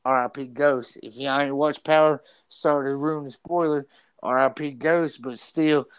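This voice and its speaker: Man, stutter, casual podcast co-host, animated and profane, repeats words, dry close sound. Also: medium pitch, normal speed, clean tone, dry recording.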